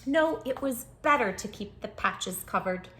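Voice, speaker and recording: A woman's voice: fairly high pitch, lively intonation, measured pace, a bit bright. A woman hosting a children's storytime, reading aloud expressively with dramatic emphasis and speaking directly to young listeners. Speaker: children's book read-aloud host. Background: music.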